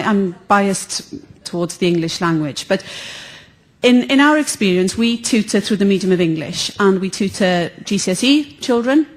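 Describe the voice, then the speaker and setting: A middle-aged woman, a former teacher who now runs a tuition business, speaking earnestly from the audience with many fillers. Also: medium pitch. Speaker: audience questioner, tutor.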